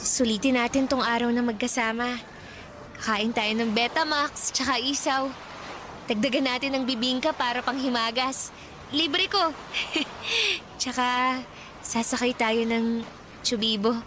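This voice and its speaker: A woman's voice: a little high, measured pace, pauses often, background noise, natural voice. A woman playing an earnest, practical young schoolgirl in a romantic radio drama, caught in her first puppy love. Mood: fond, playful.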